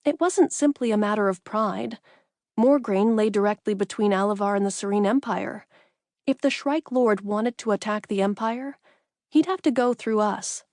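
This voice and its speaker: A woman's voice: mid-range voice, a little mellow, no echo, studio quality. A woman reading a first-person fantasy audiobook as a scripted narrator.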